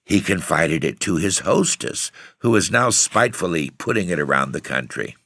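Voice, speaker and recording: A man's voice: low-pitched, expressive, normal speed, vocal fry, bright, forceful tone, no room sound, studio quality, heavily acted. A man voicing a frail, timid young woman who speaks in a fretful quaver. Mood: anxious.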